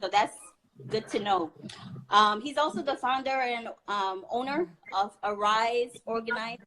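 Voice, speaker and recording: A woman's voice: a little high, unhurried, bright, forceful tone, poor audio. Friendly woman hosting an online chat. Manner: friendly, laughs often, asks questions. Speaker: host, interviewer. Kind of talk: casual online interview.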